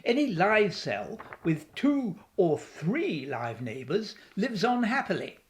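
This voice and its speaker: Casting: philosophical woman doctor of science, resolute, lecturing, lively intonation, for audio drama. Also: mid-range voice. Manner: measured, lecturing. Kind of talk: radio play acting.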